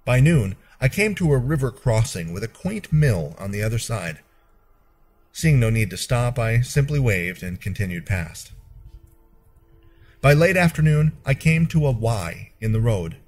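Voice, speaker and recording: A man reads a fantasy tale as an audiobook narrator. His delivery is measured and expressive, with pitch that moves widely across each phrase. The voice is mid-pitched and slightly husky. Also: soft background music. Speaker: story narrator. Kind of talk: dramatic fantasy audiobook narration in first person.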